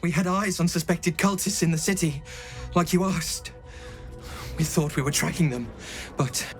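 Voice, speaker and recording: A man's voice: a little high, moderate pace, faint music behind, natural voice. An actor playing an earnest, nervous young male recruit in a video game, hesitant and deferential. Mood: nervous reporting. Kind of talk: dramatic video game acting.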